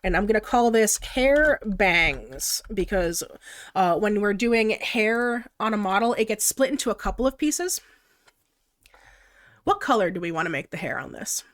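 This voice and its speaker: A woman's voice: medium pitch, very animated, unhurried. A young woman with a stuffed-up nose from the flu, casually explaining her digital art process on a live stream in a chatty, giggly way. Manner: chatty and giggly, often reading chat aloud.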